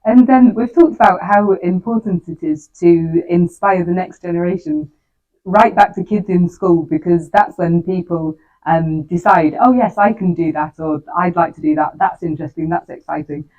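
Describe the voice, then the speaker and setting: A woman's voice: fairly low pitch, moderate pace, narrow, tinny audio. A woman interviewing in an encouraging, conversational way. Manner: encouraging, affirming.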